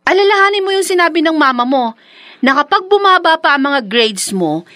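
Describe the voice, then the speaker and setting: A woman's voice: sits high, very animated, brisk, sharp tone, dry recording, studio quality, full character voice. A woman with a Filipino accent playing a young woman who admonishes a troublemaker in a radio drama. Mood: admonishing.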